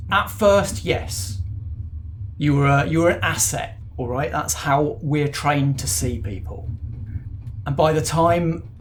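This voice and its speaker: A man playing a cornered, guilty handler confessing in a tabletop roleplay, halting and defensive, with some background noise. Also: fairly high pitch, close to an everyday voice.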